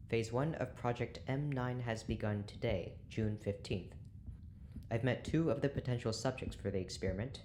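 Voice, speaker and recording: A man's voice: mid-range voice, normal speed, noisy background, natural voice. A man acting as a stern, impatient prison research doctor in an audio drama, speaking in a clipped, formal, exasperated way.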